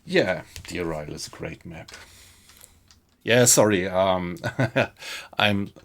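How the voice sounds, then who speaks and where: A low, gravelly man's voice with a German accent, taking his time as he talks through a survival game live. He chats easily with his viewers and reacts to what he finds while thinking aloud. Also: slow pace, a bit bright. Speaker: game streamer. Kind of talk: live gameplay commentary, chatting with viewers.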